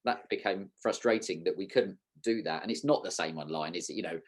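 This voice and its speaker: A man's voice: deep voice, a little fast. A man hosting a podcast in an informal, rambling, conversational way. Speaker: podcast host. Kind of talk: casual conversational podcast talk.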